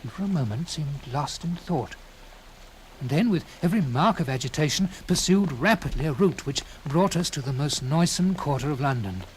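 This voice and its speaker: A man reading a classic literary story in a dramatic first-person style, with theatrical, deliberate phrasing and swelling emphasis. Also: fairly high pitch, expressive. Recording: clearly noisy with background ambience.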